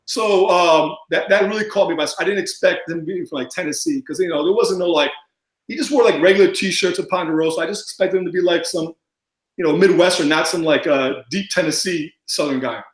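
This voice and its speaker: A man chatting as a podcast guest, mumbling and talking fast with lots of fillers. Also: a little high, echoey room, rough recording. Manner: rambling with many fillers. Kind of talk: casual podcast chat.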